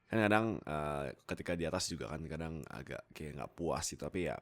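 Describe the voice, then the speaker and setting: A young man, chatting casually and playfully to viewers on a close mic, often pausing and interrupting himself. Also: a bass voice, a bit bright. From Indonesia. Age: young adult. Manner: playful, self-interrupting, hesitant pauses, jokey asides. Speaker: YouTube content creator and voice actor. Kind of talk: casual rambling chat to viewers. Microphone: close desktop microphone.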